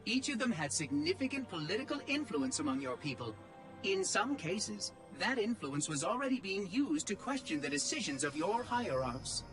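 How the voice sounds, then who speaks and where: A high, very animated artificial mind from a video game. It is fussy and verbose, with prim, condescending diction and a sly edge. Music plays clearly behind it. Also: male voice, moderate pace. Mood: slyly probing. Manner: precise, prim, patronising phrasing. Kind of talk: video game cutscene acting.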